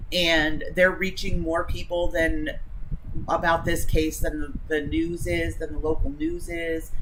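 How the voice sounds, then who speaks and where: Woman livestreamer chatting over clear noise. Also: lowish voice, a bit bright, rough recording. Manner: rambling, loses train of thought. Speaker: livestream host. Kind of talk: casual live commentary, chatting with viewers.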